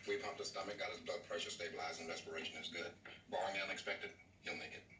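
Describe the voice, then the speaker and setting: Fast-talking, low-voiced weary doctor. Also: male voice, fairly even intonation, pushed and bright. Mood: clinical, brisk. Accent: American. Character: brisk, world-weary, sardonic male hospital doctor. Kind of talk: 1960s TV drama acting. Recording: echoey, distant old TV audio, faint noise.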